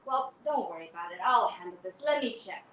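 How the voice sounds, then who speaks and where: A woman voicing a reassuring father who speaks steady and fatherly. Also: mid-range voice, a little fast, echoey room, far from the mic, a little hiss or hum, pitched below the performer's own voice, close to an everyday voice. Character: father, calm and reassuring, easily startled.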